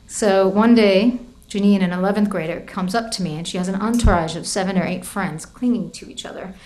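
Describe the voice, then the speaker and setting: A woman's voice: medium pitch, fairly quick, darkish tone, rough recording. A middle-aged woman giving an academic talk about her book, telling classroom stories with lots of hedging and restarts. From United States. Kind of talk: academic lecture with anecdotes.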